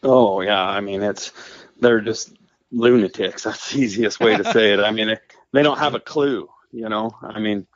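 A man's voice: on the low side, normal speed, no room sound. A man who works as a hunting guide, talking bluntly in a casual interview, full of "I mean" and "you know". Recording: dry, muffled podcast audio.

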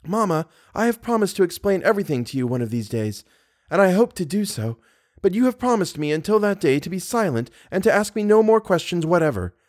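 A strong-willed, secretive young lady in an audiobook, played by a male narrator. The pitch is lifted and mobile, and the delivery is firm, formal and proud. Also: sing-song, fairly quick. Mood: earnest, evasive.

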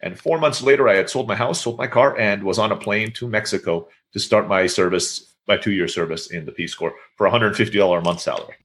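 Fast-talking man with a bright voice. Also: on the low side. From Turkey. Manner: chatty, storytelling with many fillers. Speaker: guest. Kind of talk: casual interview conversation.

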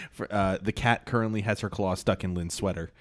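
A man's voice: lowish voice, steady tone, normal speed, dry recording. Laid-back man hosting a podcast. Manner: laid-back, rambling with fillers and frequent laughs. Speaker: podcast host. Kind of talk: casual chat.

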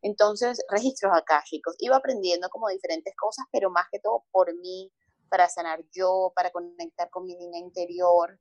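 A woman from Colombia with a Caribbean coast accent, a holistic psychologist telling her story in a relaxed interview, expressive and repeating words for emphasis. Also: fairly low pitch, moderate pace, dry recording, low-quality recording.

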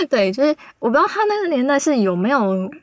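A woman's voice: sits high, very animated, moderate pace, dry recording, clean studio sound. A woman with a Taiwanese Mandarin accent, chatting casually as a guest writer. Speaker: guest scriptwriter. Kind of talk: casual chat.

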